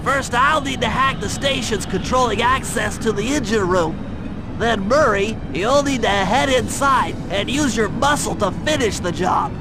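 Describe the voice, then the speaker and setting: A man's voice: extremely high pitch, a little slow. A man voicing a brainy, earnest young turtle tech strategist in a cartoon video game, nasal and precise in a wordy, explanatory way. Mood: earnest, instructive.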